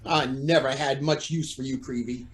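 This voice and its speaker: A man's voice: medium pitch, steady tone, moderate pace, natural voice. A man playing a proud, indignant judge who feels cornered, in an old-time radio drama with a formal, blustering manner.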